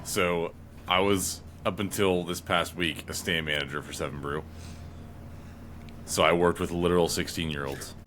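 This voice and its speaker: A man in his thirties, a player chatting casually with friends, telling rambling stories that often trail off quietly. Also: low voice, steady tone, normal speed, a little hiss or hum. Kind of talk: casual chat between friends.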